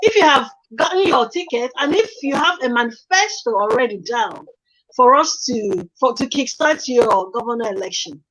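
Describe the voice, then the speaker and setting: A woman's voice: a little high, expressive, moderate pace, fairly bright tone, poor audio. A woman asking an interview question hesitantly, searching for her words.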